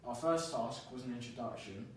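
A man's voice: mid-range voice, moderate pace, slight background noise. Young man in an echoey classroom. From London. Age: young adult. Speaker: student presenter. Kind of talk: class presentation. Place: classroom with a large screen. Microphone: far camera. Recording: echoey room, camera far off.

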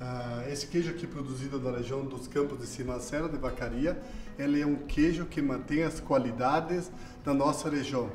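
A middle-aged man, proudly explaining his local cheese, a little halting. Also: mid-range voice, fairly even intonation, darkish tone, faint music behind. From Brazil. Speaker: farmer. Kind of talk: casual explanation. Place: small cheese dairy, indoors. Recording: echoey tiled dairy room.

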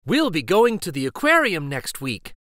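A man's voice: fairly high pitch, wildly swooping pitch, normal speed, a bit bright, dry recording, clean studio sound. A man voicing a kind but firm woman schoolteacher in a storybook, with an encouraging manner.

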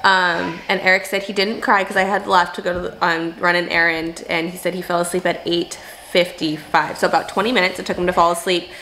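A young woman, a stay-at-home mom, talking casually to camera in a home video diary, hoarse and rambling with many fillers. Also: lowish voice, little pitch movement, a little fast, hardly any pauses, pushed and bright, a little hiss or hum, poor audio. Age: young adult. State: hoarse, losing her voice. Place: home, bedroom and kitchen.

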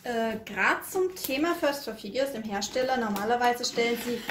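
Higher-voiced woman co-hosting in an echoey room. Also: expressive, moderate pace, a little hiss or hum. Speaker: unboxing co-host. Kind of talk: casual chat with product background.

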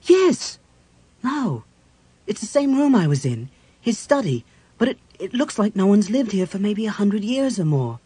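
A woman's voice: mid-range voice, lively intonation, measured pace, pauses often, a little mellow, slightly rough, dry recording, voice pushed up. A performer voicing an earnest, devout young woman cleric, breathless and agitated, in a dramatic fantasy audiobook. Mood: anxious excitement.

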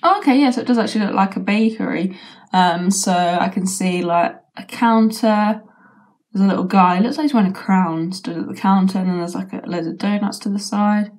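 A young woman with a British accent and a croaky voice from a sore throat, chatting casually while unboxing a toy, with lots of ums and likes. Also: medium pitch, brisk, a little mellow. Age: young adult. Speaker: toy reviewer. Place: bedroom with toy shelves, indoors.

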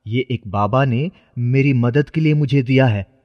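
A man's voice: medium pitch, measured pace, natural voice. A man voicing a poor, earnest young boy, a devoted son, in a cartoon story. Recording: muffled, with long reverb.

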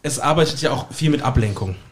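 A man's voice: mid-range voice, lively intonation, fast. A man chatting casually and talkatively as a podcast guest, telling anecdotes about his work as a magician.

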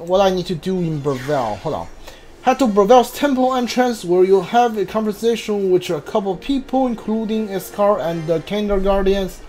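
A man's voice: high voice, darkish tone. Rambling male gamer over game music. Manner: rambling, thinking aloud. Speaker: gaming streamer. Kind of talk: casual playthrough commentary.

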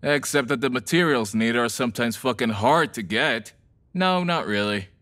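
A man's voice: mid-range voice, moderate pace, fairly bright tone, natural voice. A man acting a flippant young half-vampire guy. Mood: breezy. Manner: casual, offhand, sweary. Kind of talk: audio drama acting.

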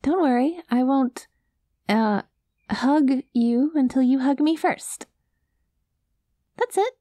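Casting: woman as cute alien girl for ASMR roleplay, highish voice, naive, gentle, hesitant, close dry sound. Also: expressive, slow, no echo. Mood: gentle, reassuring. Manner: naive, literal, hesitant, reassuring.

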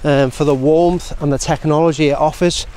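A British man in his forties giving a casual, measured product review to camera in a conversational way. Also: fairly high pitch, steady tone, warm tone. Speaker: outdoor gear reviewer. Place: outdoors in open countryside. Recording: outdoor ambient noise.

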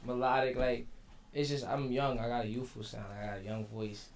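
A teenage man from the Bronx, a rapper guest answering in a laid-back, slangy, halting way in a radio studio. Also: mid-range voice, flat delivery. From the Bronx, New York. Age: teens. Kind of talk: casual interview. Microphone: studio mic on stand.

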